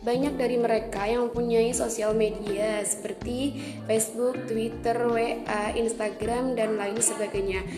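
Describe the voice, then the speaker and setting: A young woman reading a prepared university presentation at a measured pace with deliberate pauses. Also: fairly high pitch, steady tone, roomy sound, over music.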